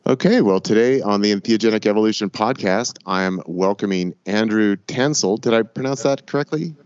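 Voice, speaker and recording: A man hosting a podcast, congested from a cold, talking in a relaxed, rambling way with fillers. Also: lowish voice, fairly quick, clear, resonant tone, dry recording, highs cut off. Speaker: podcast host. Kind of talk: casual podcast talk.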